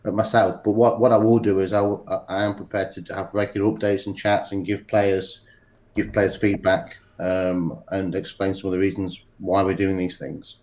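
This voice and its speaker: A man with a cold, speaking in a halting way with many pauses and restarts as a podcast interview guest. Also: low-pitched, flat delivery, darkish tone. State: congested with a cold. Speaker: poker executive guest.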